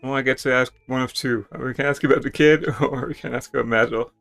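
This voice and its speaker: A man's voice: medium pitch, steady tone, quick pace, vocal fry, fairly bright tone. A man reading a story game's text aloud in a dramatic style, with casual asides and music behind him.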